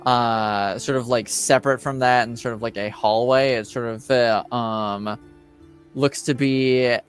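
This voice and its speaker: A male game master improvises narration for a tabletop roleplaying game. His voice sits in a middle register and he talks in loose, halting phrases, feeling his way through each description. Faint music plays behind him. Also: mid-range voice, steady tone, clear, resonant tone.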